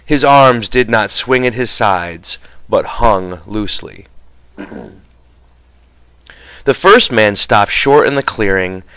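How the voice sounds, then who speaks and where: A man's voice: fairly low pitch, fairly bright tone, smooth, no echo. A man reading a novel aloud as scripted audiobook narration. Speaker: audiobook reader.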